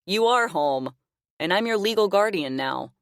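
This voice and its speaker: A woman's voice: mid-range voice, expressive, normal speed, dry recording, studio quality, pitched above the performer's own voice, natural voice. A woman voicing a cruel man who taunts his captive in a smug, mocking way.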